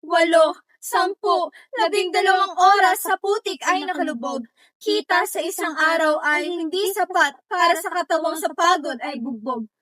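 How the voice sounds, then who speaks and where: A woman's voice: very high-pitched, moderate pace, a bit bright, poor audio. A woman playing an overworked, aching farm animal in anguished, dramatic reader's theatre verse.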